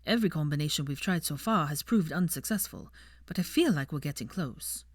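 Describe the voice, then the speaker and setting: A woman voicing a proud, guarded young man, a wizard in an audiobook, in a measured, formal manner. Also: low-pitched, animated, no echo, studio quality. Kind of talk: audiobook character voice.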